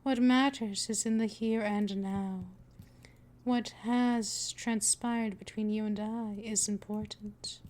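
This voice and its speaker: Woman playing a slow-spoken, soothing vampire mistress. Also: mid-range voice, fairly even intonation, a little mellow, natural voice. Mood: patient, coaxing. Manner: slow, coaxing, soothing, often drifting into whispers. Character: patient, possessive female vampire mistress, soothing and dominant. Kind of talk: scripted ASMR roleplay, hypnotic monologue.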